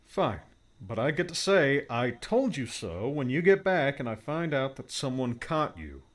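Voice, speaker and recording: A man's voice: mid-range voice, big pitch swings, unhurried, voice pushed up. A man doing a cheerful tech mentor. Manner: cheerful, explaining. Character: upbeat older male tech mentor, reassuring. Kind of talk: audiobook character voice.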